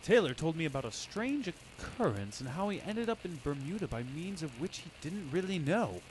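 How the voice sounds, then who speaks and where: An actor playing an inquisitive, skeptical investigator in old-time radio drama style. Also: male voice, fairly high pitch, big pitch swings, normal speed. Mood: pondering. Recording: echoey room, faint noise.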